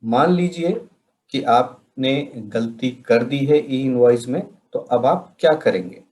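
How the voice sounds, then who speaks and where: Slow-paced man explaining, tutorial style. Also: mid-range voice, darkish tone, low-quality recording. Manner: deliberate, with pauses between phrases. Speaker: presenter of a software training session. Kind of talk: explanatory tutorial, question and answer narration.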